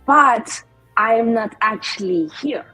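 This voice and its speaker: A confident, spiteful female mage acted out by a woman in a tabletop game. She speaks in a husky voice, deliberate and formal, always evasive and conspiratorial. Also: fairly high pitch, sharp tone, soft background music, heavily acted, low-quality recording. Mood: stubbornly evasive. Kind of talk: tabletop roleplay.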